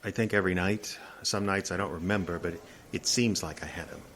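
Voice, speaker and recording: A man's voice: low-pitched, a little fast, a bit bright, slight background noise. An actor playing a guarded, irritable regular guy haunted by nightmares in an audio drama, defensive and exasperated. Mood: wary, guarded. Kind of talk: naturalistic audio drama acting.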